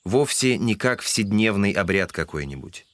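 A male narrator reads literary prose for an audio drama. His voice is on the low side, recorded close and dry with no room sound. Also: lively intonation, normal speed, no echo.

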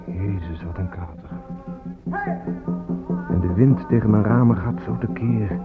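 A man's voice: lowish voice, unhurried. An actor playing a weary, disillusioned, hungover man who broods in a radio drama monologue. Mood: weary, haunted. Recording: tinny, phone-like recording with music behind. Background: music.

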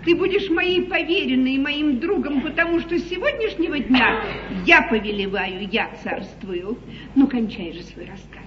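A woman's voice: high-pitched, expressive, normal speed. An actress playing a flighty, lovestruck queen in a 1950s stage comedy, stately yet flustered and hesitant. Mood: regal, confiding. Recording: dull echoey 1950s live theatre recording, noisy.

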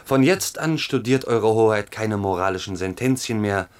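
A man's voice: on the low side, normal speed, smooth, natural voice. Brief: man as a servile courtier, dry and careful.

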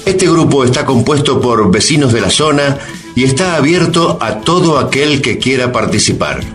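A man's voice: mid-range voice, lively intonation, moderate pace. A man presenting a scripted introduction to a radio play. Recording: music clearly under the voice.

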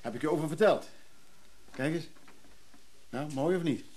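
A man's voice: a little high, moderate pace, a little mellow, slight background noise, natural voice. An actor playing an eager, wheedling errand man in a Dutch radio drama, hesitant and coaxing.

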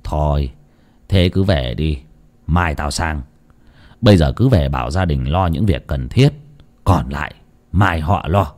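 Casting: male narrator as gruff clan-head uncle, middle-aged, low register, teasing and scolding, storybook rustic. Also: very animated, moderate pace. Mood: subdued. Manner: scolding, earthy, sly.

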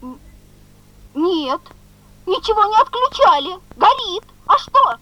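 A woman's voice: very high voice, big pitch swings, slow pace, sharp tone, a little hiss or hum, heavily acted, rough recording. An actress playing an evasive, flustered mother in a 1970s radio play, speaking hesitantly and stumbling. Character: evasive, flustered mother phoning about her daughter. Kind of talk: 1970s Soviet radio drama acting.

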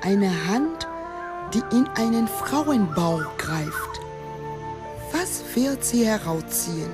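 A woman's voice: fairly high pitch, wildly swooping pitch, slow pace, music in the background, heavily acted. A woman playing an enigmatic, seductive African dream figure in a radio play, teasing and mysterious. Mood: seductive, eerie.

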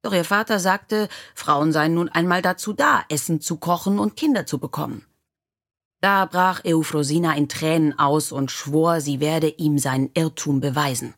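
Woman reading a storybook, kinda low and raspy husky voice. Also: moderate pace. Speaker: audiobook narrator. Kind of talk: scripted storybook narration.

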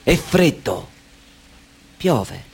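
A husky, brooding, controlling man. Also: mid-range voice, sing-song, extremely slow, heavily acted. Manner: brooding, insistent, swings between hush and urgency. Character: anxious, controlling man who keeps her indoors. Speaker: radio drama actor. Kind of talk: Italian radio play acting.

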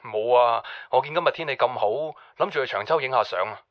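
An actor with a Hong Kong Cantonese accent playing an easygoing friend on a casual, telephone-filtered voicemail in a radio drama. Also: male voice, medium pitch, fast talker, dry recording. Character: easygoing male friend leaving a casual invitation. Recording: dull, dry voicemail line.

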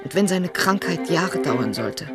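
An actress playing an anxious, stubborn middle-aged mother and wife in a radio play, earnest and insistent, with a husky voice. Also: on the low side, lively intonation, a little slow, over loud music. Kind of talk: literary radio play acting.

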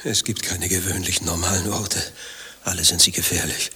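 Nervous cheating husband in a radio satire, lowish voice, grumbly n fretful, noisy background. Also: male voice, steady tone, a little fast, sharp tone. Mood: exasperated. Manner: dramatic, fretful storytelling in clipped phrases. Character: furtive married man, anxious secret lover, paranoid and grumbling. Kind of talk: dialogue-driven satirical radio play acting.

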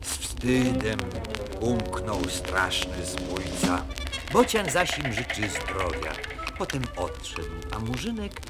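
A man tells a rhymed children's story on a vinyl record. He is theatrical, with a low, very animated voice and a slow delivery, and loud music plays behind him. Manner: expressive, theatrical verse reading.

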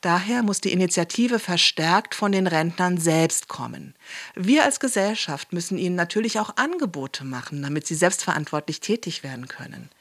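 A woman's voice: on the low side, expressive, normal speed. A woman playing a cold, earnest technocrat, speaking in an insistent official manner in a mock-documentary radio drama. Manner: matter-of-fact, insistent, official. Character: cold, earnest technocrat pushing a callous policy.

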